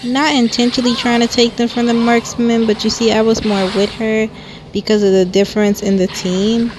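Soft-spoken young woman, chatty gameplay voice-over. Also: fairly high pitch, moderate pace. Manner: chatty, frequent "like" fillers. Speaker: gameplay commentator. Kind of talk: casual voice-over commentary. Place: lying down at home. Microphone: microphone held close to mouth. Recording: steady background noise.

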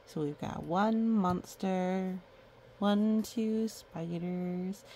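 A woman's voice: medium pitch, very slow, warm tone, a little hiss or hum. A woman reading a children's picture book aloud in a playful way, breaking off for chatty asides and sing-song counting.

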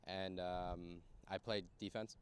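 A young man from New Jersey, pausing with ums, speaking into a handheld mic on an outdoor soccer field. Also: low-pitched, little pitch movement, clear, resonant tone. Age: young adult. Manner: hesitant, with ums. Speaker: college soccer recruit. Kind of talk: casual on-camera interview.

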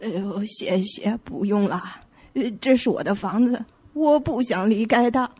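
An actress playing a grieving woman who lost her twin, with halting, anguished delivery in an audio suspense drama. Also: on the high side, dark tone, slightly rough, dry recording. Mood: bitter, shaken.

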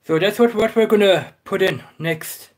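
A man's voice: high voice, moderate pace, a little hiss or hum. A man with a slight Irish accent chatting to viewers while he cooks in a small home kitchen, full of fillers and calls of "guys". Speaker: cooking vlogger. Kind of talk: casual live cooking talk.

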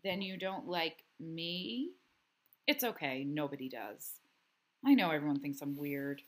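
A woman's voice: sits low, expressive, a little slow, voice pushed down. A woman voicing a friendly but wounded young schoolgirl in a storybook, earnest and confiding. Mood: hurt.

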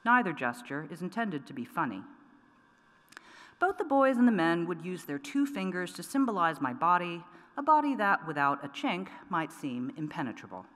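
A middle-aged woman poet reading her work aloud at a lectern microphone, measured and deliberate with pointed emphasis. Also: lowish voice, sing-song, a bit bright. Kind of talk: poetry reading. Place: large wood-paneled auditorium, at a lectern. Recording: long reverb of a large hall.

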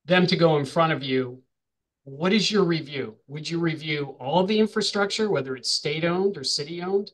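Male meeting chair, slightly high voice, moderating and questioning on a video call. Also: normal speed, a bit bright, poor audio. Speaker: chair of a public hearing.

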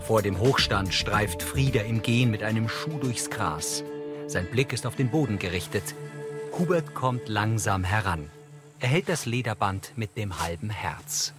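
A man's voice: fairly low pitch, unhurried, music in the background. A man narrating screen action as a scripted audio description.

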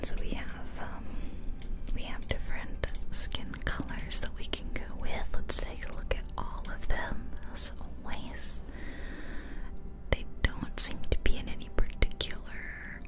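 A woman whispering casual ASMR game commentary close to the microphone, unhurriedly thinking aloud. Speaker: ASMR video creator. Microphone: close ear-to-ear ASMR microphone.

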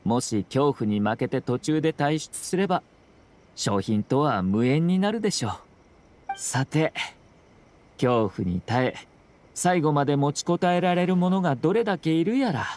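An actor playing a composed young nobleman in an anime video game, speaking with polite, formal, measured phrasing and a subtly teasing slyness. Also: on the high side, lively intonation, a little mellow. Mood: sly.

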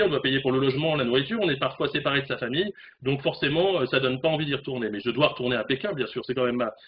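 A man in his forties reporting as a correspondent on a remote news discussion, animated, speaking from a room at home over a webcam. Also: mid-range voice. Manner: fast, animated, talks with hands. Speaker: correspondent in South Korea. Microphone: video call webcam. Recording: dull video call audio, faint noise.

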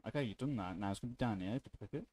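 Man, low voice, very muffled dark tone, informal tutorial presenter, offhand delivery. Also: rapid-fire. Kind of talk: casual software tutorial, unscripted.

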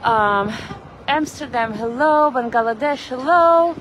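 A woman's voice: high voice, slow pace, a bit bright. A woman chatting casually to her viewers while walking outdoors, rambling and greeting people by name. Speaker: vlogger. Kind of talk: casual live-stream walking chat. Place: outdoors on a city street. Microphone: phone camera held while walking. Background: traffic and street ambience.

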